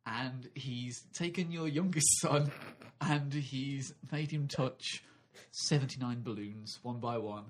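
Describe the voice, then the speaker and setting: A man's voice: medium pitch, slow, hardly any pauses. A man co-hosting a comedy podcast, telling silly improvised stories with lots of giggling. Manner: giggly, playful, silly storytelling. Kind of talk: absurd improvised comedy chat.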